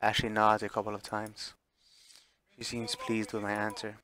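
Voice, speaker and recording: A man's voice: fairly low pitch, monotone, moderate pace, sharp tone. A man streaming a visual novel, chatting casually as he plays and reading the text aloud, sometimes stumbling and correcting himself.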